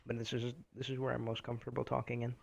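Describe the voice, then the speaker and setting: A young man chatting casually over gameplay, rambling and self-deprecating, with a low, slightly raspy voice he keeps quiet on purpose. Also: completely flat delivery, quick pace, dull audio. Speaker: gaming commentator. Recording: game music underneath.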